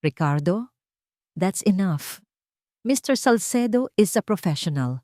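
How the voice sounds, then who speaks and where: A woman's voice: medium pitch, expressive, a little slow, warm tone, dry recording, clean studio sound, lightly acted. A woman voicing a composed, protective wealthy mother, earnest and polite, in a storybook narration. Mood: firm, protective.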